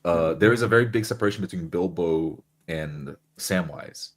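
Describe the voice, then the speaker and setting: A man's voice: deep voice, moderate pace. A man who plays tabletop roleplay, explaining his thoughts enthusiastically in a casual group discussion.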